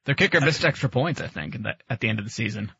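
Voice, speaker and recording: A man's voice: mid-range voice, lively intonation, very fast, vocal fry, bright, forceful tone, breathy edge, no echo, highs cut off. A man co-hosting sports talk, chiming in with short factual comments and statistics. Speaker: sports show co-host.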